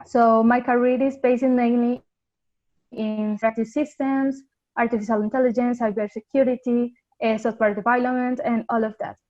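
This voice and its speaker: Woman, fairly high voice, stops to find words, muffled video call sound. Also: level tone, normal speed, darkish tone. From Colombia. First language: Spanish. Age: young adult. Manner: hesitant, searching for words.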